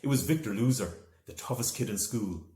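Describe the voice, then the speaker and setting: A man reading a children's storybook aloud in an expressive, dramatic storytelling manner. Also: fairly low pitch, brisk. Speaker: author reading from own novel. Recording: echoey room.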